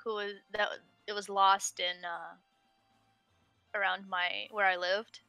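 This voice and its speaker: A woman's voice: mid-range voice, flat delivery, takes it slowly, sharp tone, smooth, no room sound, faint music behind. A woman from Russia, speaking English as a while chatting and walking friends through a game on a stream. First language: not English. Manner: chatty, guides others through game menus. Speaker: stream host. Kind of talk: casual gaming stream chat.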